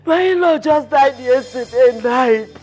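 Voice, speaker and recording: A woman's voice: sits high, unhurried, a little mellow, breathy edge, faint music behind. An actress playing a grieving older mother-in-law, sobbing and crying out in mourning. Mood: wailing grief. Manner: wailing, sobbing laments.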